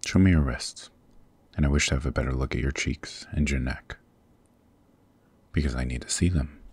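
An extremely low, husky demon king, acted by a man for an ASMR roleplay. He is commanding yet tender, protective and possessive, speaking formally and up close in feel. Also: normal speed, a little mellow, full character voice. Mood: commanding, caring. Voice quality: husky, very deep. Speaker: voice actor.

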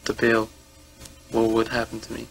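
A man in his twenties from Guyana, speaking haltingly and upset during an interview. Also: on the low side, monotone, creaky, low-quality recording. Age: 20s. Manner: hesitant, halting, subdued. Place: small room indoors.

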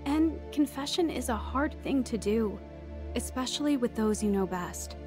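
A woman's voice: fairly high pitch, lively intonation, normal speed, close to an everyday voice. An actress as an earnest young woman narrator over music. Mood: confessional. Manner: earnest, confessional. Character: reflective young woman narrating her troubled teens. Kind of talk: scripted first-person drama narration.

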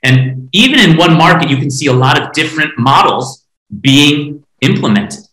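A man's voice: on the high side, lively intonation, normal speed, pushed and bright. A man from Taiwan moderating an online panel discussion over a video call in an echoey room. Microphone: video call, laptop distance.

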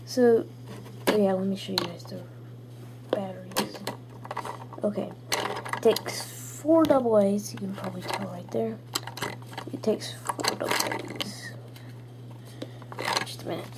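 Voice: mid-range voice, slow pace, long pauses. A young person, child or teen, giving a casual, rambling gadget review into a handheld camera at home. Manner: informal, rambling. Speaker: video reviewer. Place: small room at home, indoors. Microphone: handheld camera's built-in mic. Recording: noisy handheld camera audio with clicks.

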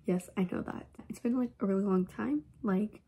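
A woman's voice: mid-range voice, moderate pace, warm tone, slightly rough. A teenage girl with a stuffy, runny nose, chatting casually through a vanity tour at home, rambling with lots of fillers. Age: teens. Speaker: makeup vlogger. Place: bedroom at home. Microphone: phone camera.

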